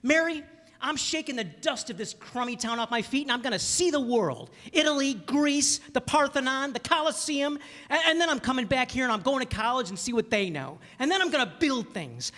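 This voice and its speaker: A man playing an earnest, excitable young small-town dreamer in a radio play, with a stammering, folksy delivery. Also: very high voice, exaggerated voice. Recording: very echoey hall stage.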